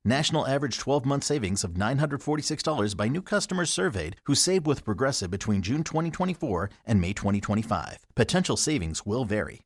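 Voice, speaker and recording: A man's voice: mid-range voice, very animated, fast, hardly any pauses, slightly rough. An American man reading a scripted advertisement as an announcer. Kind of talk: scripted ad read.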